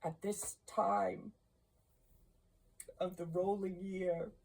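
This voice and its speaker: A woman's voice: lowish voice, little pitch movement, vocal fry, darkish tone, husky, full character voice, low-quality recording. A woman playing a mournful male ghost in a storybook reading, solemn and declamatory, drawing out grave warnings. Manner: slow, drawn-out, declamatory delivery.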